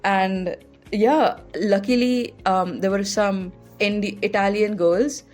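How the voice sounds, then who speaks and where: A young woman speaking with an Indian English accent tells stories in a loose, chatty way, with "like" scattered through her sentences. She talks as a podcast host, with soft music faintly underneath. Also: mid-range voice, fairly even intonation, slow pace. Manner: chatty, heavy use of "like" fillers. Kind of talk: casual personal storytelling.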